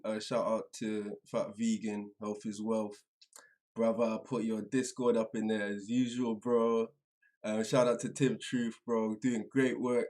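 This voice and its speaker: A man hosting a live stream in casual, slangy chat, halting and trailing off as he goes, a little away from the microphone. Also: on the low side, a little mellow. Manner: informal, slangy address to viewers; halting, distracted, trailing off mid-sentence. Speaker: livestream host. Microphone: some distance from the microphone.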